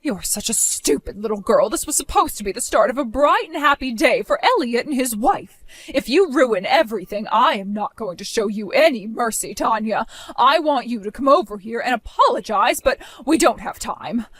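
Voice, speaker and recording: A woman voicing a stern, belittling middle-aged mother in an animated story, with a cold, scolding manner. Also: a little high, moderate pace, no echo.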